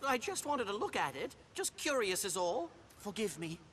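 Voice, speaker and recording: A man's voice: high voice, big pitch swings, normal speed, a bit bright, slight background noise. A man playing a panicky, remorseful villager in a fantasy video game, pleading in agitated, old-fashioned phrases. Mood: remorseful, anxious. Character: panicky, remorseful young male villager confessing a theft.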